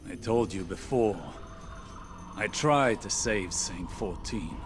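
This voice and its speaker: An actor playing a grave, weary time-walking mentor in a video game cutscene, speaking solemnly and deliberately with a somber, resigned air. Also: male voice, lowish voice, animated, noisy background. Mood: somber, regretful. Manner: solemn, deliberate, portentous delivery. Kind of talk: dramatic scripted video game cutscene monologue.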